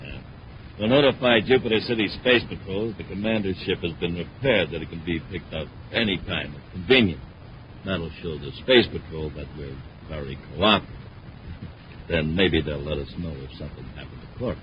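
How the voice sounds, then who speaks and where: A man's voice: low voice, a little fast, lightly acted. An actor playing a smug, scheming male villain, gloating and self-assured, in a 1950s radio serial. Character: smug, scheming male crook who runs a cargo racket. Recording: muffled, noisy 1950s radio recording.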